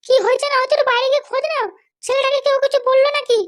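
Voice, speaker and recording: Woman voicing very shrill, high-pitched cartoon mother. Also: level tone, racing. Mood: fretful, insistent. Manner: fretful, insistent, nagging. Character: doting, anxious, bossy mother of a grown son. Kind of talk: cartoon dialogue.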